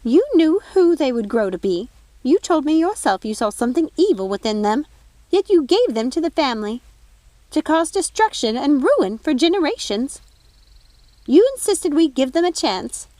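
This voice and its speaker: Haughty storybook fairy godmother acted by a female reader: high, swooping, shrill and scolding. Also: darkish tone, no echo. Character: haughty, indignant fairy godmother, squealing and accusatory.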